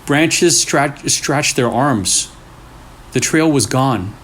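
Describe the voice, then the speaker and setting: A man reading a scary story aloud in short, deliberate phrases with pauses for suspense. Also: mid-range voice, lively intonation, fairly bright tone. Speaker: storyteller, channel host. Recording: clearly noisy room recording.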